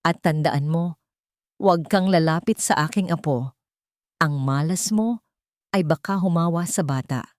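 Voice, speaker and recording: A woman's voice: low voice, darkish tone, dry recording, clean studio sound. Woman acting a cold, imperious elderly matriarch. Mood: commanding, threatening. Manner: commanding, measured, menacing. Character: imperious, coldly cruel elderly wealthy matriarch.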